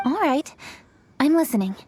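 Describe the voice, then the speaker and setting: A young lady official from an anime game. Her voice sits high, with composed, formal phrasing. She comes across as courteous, dutiful and hardworking. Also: big pitch swings, normal speed, a little mellow, slight background noise. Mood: calm, attentive. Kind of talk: anime video game voice acting.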